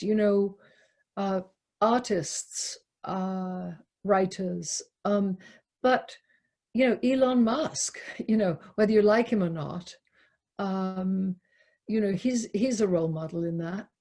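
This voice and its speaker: A woman leading a poetry discussion on a video call, explaining reflectively in deliberate, halting phrases with pauses. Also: medium pitch, a little mellow. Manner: halting, deliberate, pausing between short phrases. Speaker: poetry reader and discussion leader. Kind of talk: reflective spoken commentary, unscripted teaching. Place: online video call talk.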